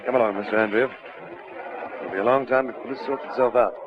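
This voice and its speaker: An actor playing a calm, firm detective sergeant, measured, dryly courteous and politely insistent, in an old radio drama. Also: male voice, medium pitch, a crowd in the background, natural voice. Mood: brisk. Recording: dull, noisy old radio drama recording.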